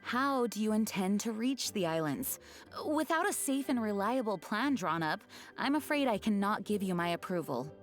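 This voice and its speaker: A woman's voice: medium pitch, big pitch swings, natural voice. Woman as a serious, formal knight commander. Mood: firm, regretful. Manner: formal, measured, polite. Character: serious, dutiful, courteous female knight commander. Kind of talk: anime game voice acting. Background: game music.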